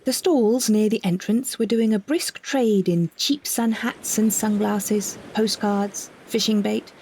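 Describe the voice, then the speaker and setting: A British woman reads a scripted first-person story with measured, expressive phrasing and careful delivery. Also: medium pitch, breathy edge. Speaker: narrator, solo performer of an audio story.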